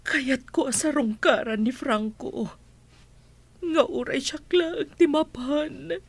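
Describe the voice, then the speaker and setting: A woman's voice: high voice, slow pace. A woman with an Ilocano accent playing a grieving, devoted mother of a prisoner in a radio drama. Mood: pleading, sorrowful.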